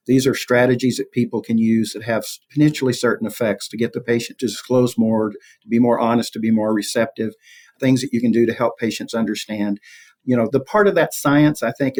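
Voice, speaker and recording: A man's voice: mid-range voice, darkish tone. A man speaking as an expert interview guest, explaining things in a conversational, reflective way and rephrasing as he goes.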